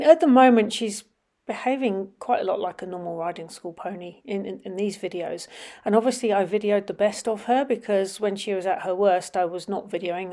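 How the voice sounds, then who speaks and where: A middle-aged woman with a slightly sniffly, runny nose, casually commenting over videos of her children riding, with frequent ums and some quiet murmured asides. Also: medium pitch. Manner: chatty, many ums, drops to quiet murmurs while watching. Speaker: vlogger.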